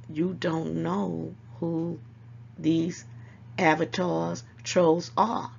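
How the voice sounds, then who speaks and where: A woman's voice: lowish voice, creaky, dull audio. An older woman hosting an online talk show, scolding and preaching to her viewers and calling them baby, at times a little congested. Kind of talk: casual commentary to viewers.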